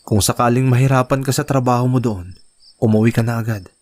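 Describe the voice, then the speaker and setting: A worn-out husband and father in a radio drama, voiced by a man. He speaks softly and apologetically, with care for his wife. His pitch sits in the middle range. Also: a little fast, close to an everyday voice.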